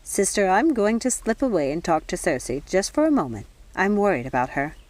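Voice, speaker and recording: A woman's voice: medium pitch, sing-song, brisk, a little mellow, close to an everyday voice. A woman voicing a weary, sensible fairy nanny in an audiobook, with restrained, dry patience. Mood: gentle, worried. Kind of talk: audiobook character voice.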